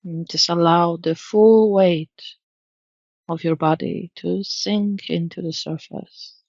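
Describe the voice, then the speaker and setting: A woman leads a guided meditation at a very unhurried pace. Her voice has a noticeable creak and a gentle, soothing delivery. The recording is dry and close, with a slightly muffled tone. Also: fairly low pitch, fairly even intonation, no echo. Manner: soothing, gentle, measured instruction.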